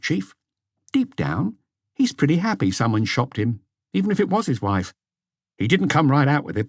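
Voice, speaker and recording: A man's voice: fairly high pitch, dry recording, studio quality, pitched above the performer's own voice. A man voicing a younger, eager police inspector in an audiobook, chattily reporting to his superior. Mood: chatty, pleased. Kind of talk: audiobook character dialogue.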